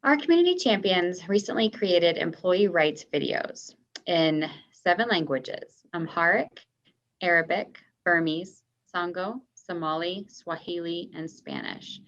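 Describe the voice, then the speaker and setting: A woman's voice: on the low side, expressive, unhurried, no room sound. A woman giving a scripted presentation as a project co-manager. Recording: dull, dry online meeting audio.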